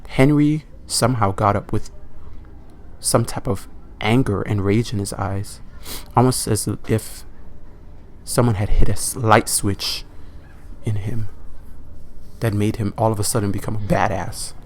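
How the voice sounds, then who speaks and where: A man's voice: fairly low pitch, steady tone, a little mellow, slight background noise. A man narrating a comic horror story as a casual, foul-mouthed storyteller, leaning on words for emphasis. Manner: profane, informal, drawn-out emphasis.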